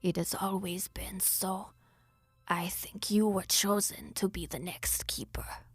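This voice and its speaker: A woman voicing an eerie old woman who guards cursed portals, speaking in a slow, hushed, raspy whisper. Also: mid-range voice, little pitch movement, no room sound, faint music behind. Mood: ominous.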